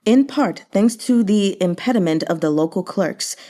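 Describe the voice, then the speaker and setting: A woman reading a novel aloud as narrator, in a measured storytelling manner. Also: medium pitch, animated, dark tone. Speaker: audiobook reader.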